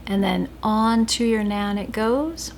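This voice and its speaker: A woman chatting casually as she cooks in her home kitchen for a vlog, relaxed, with a sore throat from talking all day. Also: medium pitch, slow, slight background noise. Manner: chatty, relaxed, with filler ums. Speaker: vlogger cooking at home. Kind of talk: casual cooking vlog chat.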